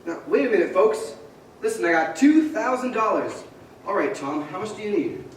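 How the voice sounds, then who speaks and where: A man's voice: high voice, sing-song, moderate pace. A man playing an earnest young small-town loan manager who pleads with people, in a staged radio play. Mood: urgent, pleading. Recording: echoey hall, distant mic, faint crowd noise.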